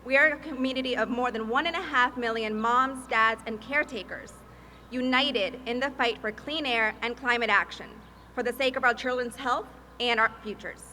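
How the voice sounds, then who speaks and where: Woman from Peru at a podium, bright, slightly high voice, faint hiss behind. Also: normal speed. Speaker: advocacy field manager and mother. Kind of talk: prepared speech at a press event.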